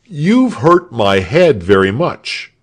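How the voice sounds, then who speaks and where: A man's voice: mid-range voice, sing-song, slow. A man voicing a proud, fussily polite storybook father who is pompous and easily offended. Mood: aggrieved.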